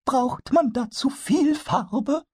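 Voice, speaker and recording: A man voicing a small, mischievous kobold in a storybook reading, excitable and childlike. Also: very high voice, slow, husky, no room sound, clean studio sound, voice pushed up, exaggerated voice. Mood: wondering. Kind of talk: storybook character voice.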